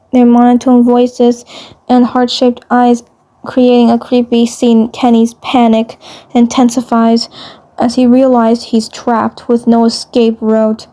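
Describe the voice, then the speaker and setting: A woman storyteller with a mellow, slightly high voice. She narrates dramatic fan fiction haltingly, going back over her phrases as she goes.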